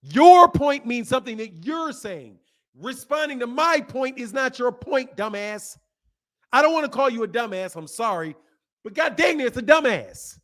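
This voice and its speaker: A man's voice: very high voice, sing-song, normal speed, fairly bright tone. A man in his fifties hosting a boxing channel, scolding and insulting a caller in a heated live argument. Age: 50s. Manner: insulting, scolding, repeats himself.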